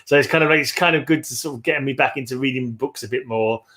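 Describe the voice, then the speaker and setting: Man, co-host, average pitch, loose conversational delivery that rambles and repeats. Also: fast, a crowd in the background. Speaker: co-host of a book discussion show. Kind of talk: casual chat.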